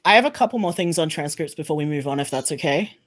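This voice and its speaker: A woman with a fairly low voice talks on a podcast panel. She is frank and informal, speaking off the cuff in a conversational style. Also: expressive, quick pace, a crowd in the background. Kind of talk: casual panel discussion.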